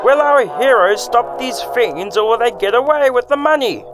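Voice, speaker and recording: A man's voice: very high voice, lively intonation, normal speed, over music. A man playing the dramatic narrator of a detective radio serial, reading a script.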